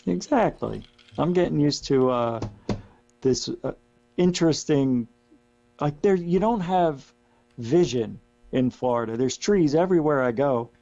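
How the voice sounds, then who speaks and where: A middle-aged man, hoarse and losing his voice, chats as a guest on a radio show and rambles with many restarts. His voice sits a touch high, with a gravelly, mellow tone. He comes through a dull, lo-fi line with a steady mains hum underneath. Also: unhurried, soft background music. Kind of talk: casual radio chat.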